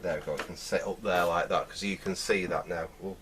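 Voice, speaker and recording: A man giving unscripted hands-on repair commentary, thinking aloud in a halting way as he works. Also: sits low, steady tone, fairly bright tone, distant mic, slight background noise. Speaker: host of a vintage radio repair channel.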